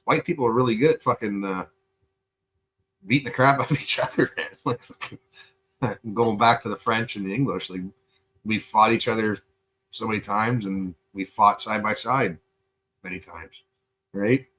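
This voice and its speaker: A man from Canada streaming live, chatting loosely and profanely with lots of fillers, and he is high. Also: normal speed, long pauses, a bit bright. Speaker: live streamer reacting to videos. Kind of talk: casual rambling stream chat.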